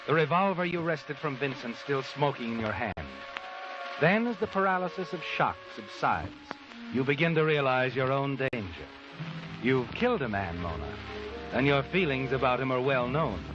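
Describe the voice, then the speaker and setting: An actor playing a sardonic, knowing narrator in dramatic 1940s radio suspense style. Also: male voice, mid-range voice, sing-song, moderate pace, close to an everyday voice. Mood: ominous, insinuating. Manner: insinuating, dramatic. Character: sardonic, knowing male narrator, needling the guilty. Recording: muffled old radio broadcast, music behind.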